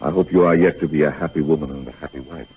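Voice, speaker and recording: An actor playing a tender, grieving middle-aged father in 1930s radio style, husky and hesitant. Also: very deep voice, steady tone, quick pace, a little hiss or hum. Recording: muffled 1930s radio broadcast.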